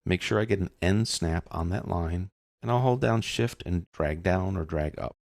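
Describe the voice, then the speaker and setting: A man narrating a casual step-by-step software tutorial in an informal, explanatory way. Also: low voice, moderate pace, vocal fry, dry recording, studio quality. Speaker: software instructor.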